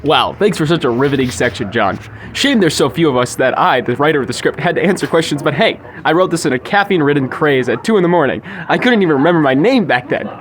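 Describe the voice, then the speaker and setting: Flippant young man, higher voice. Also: expressive, fast. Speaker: podcast co-host. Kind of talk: casual comic chat. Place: sushi restaurant.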